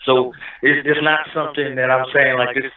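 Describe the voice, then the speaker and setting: A man's voice: medium pitch, little pitch movement, brisk. A man from Newark who grew up speaking Arabic, explaining things at length as a guest and often asking whether the listener understands. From Newark, New Jersey. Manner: explains at length, keeps asking "you understand what I'm saying". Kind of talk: casual interview talk. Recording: echoey, distant phone-line audio.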